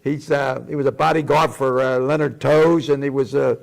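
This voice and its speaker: Creaky-voiced man in his nineties, rambling. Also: mid-range voice, steady tone. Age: 90s. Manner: rambling, halting, repeats himself. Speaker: talk show host. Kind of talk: casual chat.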